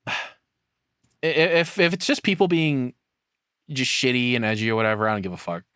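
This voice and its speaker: A man's voice: medium pitch, animated, fairly bright tone, clean tone, no echo. Blunt male streamer, dry close sound. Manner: sweary, halting, blunt. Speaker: streamer reacting to a video. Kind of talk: casual off-the-cuff reaction.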